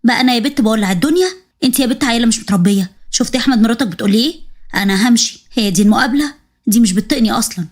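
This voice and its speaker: A woman doing a sharp-tongued meddling mother-in-law. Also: fairly high pitch, racing, natural voice. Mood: indignant. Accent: Egyptian Arabic. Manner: scolding, sarcastic.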